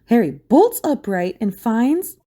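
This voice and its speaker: A woman's voice: fairly high pitch, sing-song, slow pace, warm tone. A woman narrating a scripted audio drama in a dramatic storytelling style.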